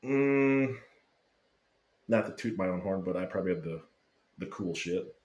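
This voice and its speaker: A man casually telling a lively fan story, swearing freely and acting out what people said. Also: low voice, normal speed. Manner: animated, swearing, acts out quotes. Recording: roomy echo.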